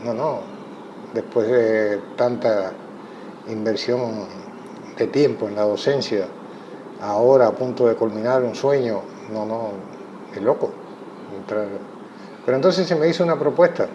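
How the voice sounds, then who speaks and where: A Cuban man, a chess coach, giving thoughtful interview answers in a measured way with frequent pauses. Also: medium pitch. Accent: Cuban Spanish. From Cuba. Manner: measured, thoughtful, many hesitations. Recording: muffled audio with clear background noise.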